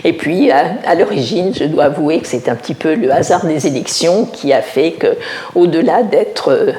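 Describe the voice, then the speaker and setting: An older woman from Alsace, a village mayor, answering interview questions in a measured, explanatory way, often pausing to find her words. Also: low voice, expressive, warm tone. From Alsace, France. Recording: roomy echo.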